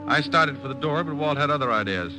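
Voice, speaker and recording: A man playing a sharp, confident, needling private detective in old-time radio style, speaking in a punchy, wry way. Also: medium pitch, lively intonation, quick pace, over music, close to an everyday voice. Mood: narrating. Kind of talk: 1940s-50s radio detective acting.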